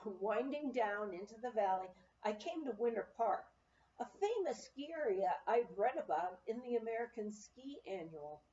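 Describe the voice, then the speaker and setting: A woman narrating a memoir aloud in an expressive, storytelling manner. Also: fairly high pitch, moderate pace, recorded from a distance. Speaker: audiobook reader. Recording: muffled, roomy, off-mic amateur recording.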